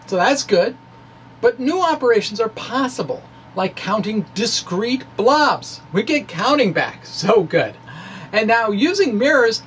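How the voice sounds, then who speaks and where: Male science blogger, reading his essay aloud with hesitant, thoughtful asides and lively intonation, slight noise behind. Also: high voice.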